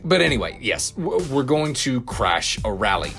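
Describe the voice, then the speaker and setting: A man playing a cocky, gleefully condescending alien villain in an audio comedy, chatty, smug and excitable. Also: mid-range voice, normal speed, creaky, soft background music. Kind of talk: audio comedy drama dialogue.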